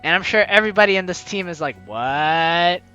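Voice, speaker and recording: A man doing a casual let's-play, reading story text aloud with chatty, expressive asides. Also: high-pitched, rapid-fire, lo-fi sound. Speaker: game streamer. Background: game music.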